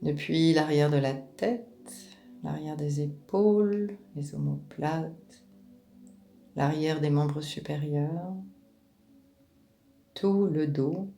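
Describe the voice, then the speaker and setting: A woman leading a guided relaxation meditation in a calm, gentle, unhurried manner, with pauses between short phrases. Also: low voice.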